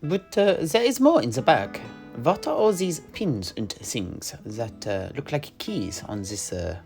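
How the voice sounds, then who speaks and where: Casting: male performer as curious fantasy mercenary knight, raised voice, halting and unsure, over a music bed. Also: mid-range voice, big pitch swings, creaky, a little mellow, pitched above the performer's own voice. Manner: hesitant, halting, full of surprised questions. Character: naive, curious mercenary knight listening to a tale. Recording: music behind the voice.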